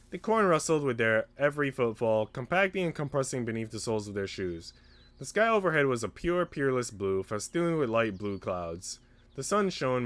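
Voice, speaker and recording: A man's voice: mid-range voice, fairly bright tone, no room sound. A man reading a visual novel aloud as scripted narration with character voices. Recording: music under the voice. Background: background music.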